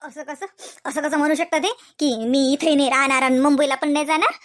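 A performer playing a bitter, quarrelsome young wife and mother, who complains rapidly and repeats herself. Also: very high-pitched, sharp tone, no room sound, studio quality. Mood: indignant. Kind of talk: homemade family skit.

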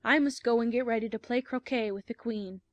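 A woman plays a brusque duchess in an audiobook play. The delivery is curt and dismissive, fairly high, in dull, muffled sound. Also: fairly quick, dry recording, lightly acted. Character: brusque, rude Duchess with a baby. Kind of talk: dramatized audiobook acting.

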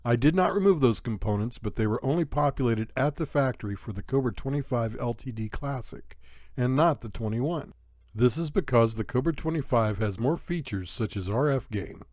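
Man, medium pitch, scripted technical narration, matter-of-fact, step-by-step explaining, dry voice-over sound. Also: expressive, moderate pace, a little mellow, no room sound. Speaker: electronics tutorial narrator.

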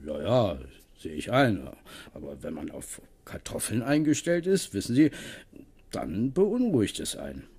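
A plain-spoken, kindly farm tenant with a low, mellow voice. He talks in a coaxing, homely way in a radio play. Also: wildly swooping pitch, a little slow, breathy edge, heavily acted.

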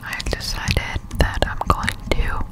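A woman whispering casual ASMR game commentary close to the microphone while chewing gum. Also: takes it slowly. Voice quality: unvoiced whisper. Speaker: streamer playing a video game. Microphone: close-up desktop studio microphone.

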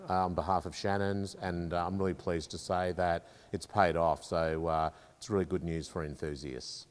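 A man's voice: deep voice, darkish tone. An Australian man in his fifties explaining something to camera as a company representative. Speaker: car insurance company representative and enthusiast. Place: large indoor car storage garage. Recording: echoey hall-like reverb.

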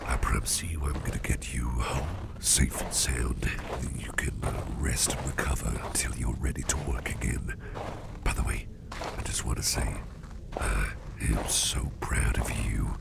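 A man acts out a caring male boss in an intimate ASMR roleplay. His voice is extremely low and thick with gravelly fry, often sinking into a soft whisper. He rambles in a folksy, reassuring way, protective and a little sly. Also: steady tone, a little slow, full character voice. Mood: tender, reassuring. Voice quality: very deep, gravelly, breathy half-whisper.

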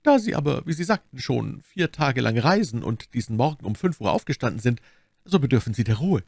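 Guy doing a sweet curious abbess, pitched way high, very polite and proper, audiobook dialogue, dry close sound. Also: sing-song, brisk, gravelly, darkish tone, dry recording. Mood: kindly. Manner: polite, formal, solicitous. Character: abbess, gentle, curious, sympathetic pious woman.

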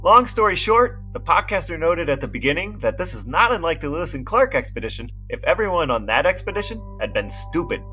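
A man's voice: fairly high pitch, big pitch swings, fairly quick, few pauses, bright, forceful tone, faint music behind. A man co-hosting a podcast, explaining things casually in a dry, matter-of-fact manner. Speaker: podcast co-host.

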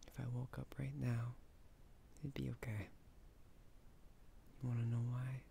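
A man playing a tender, bashful, nerdy young husband in an intimate ASMR roleplay, speaking softly and breathily, often half-whispering. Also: mid-range voice, gravelly, slight background noise. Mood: loving, wistful. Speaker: voice actor in an audio roleplay.

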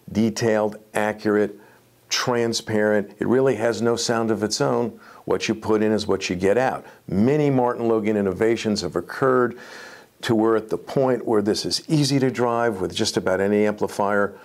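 A man's voice: on the low side, a little mellow. A man in his sixties giving an informal product presentation to camera as a company presenter. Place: medium-sized indoor room with acoustic wall panels.

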